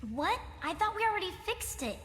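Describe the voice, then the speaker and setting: An actress playing a perky, irreverent young daughter in a game, with a casual bouncy delivery. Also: female voice, very high voice, sing-song, brisk, fairly bright tone, exaggerated voice. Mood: puzzled flippancy. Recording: echoing hall.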